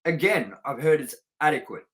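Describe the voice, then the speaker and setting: A middle-aged man with a voice pitched a little high talks as co-host of a review show. He is casual and sweary, and he states his opinions with firm emphasis. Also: very animated, moderate pace.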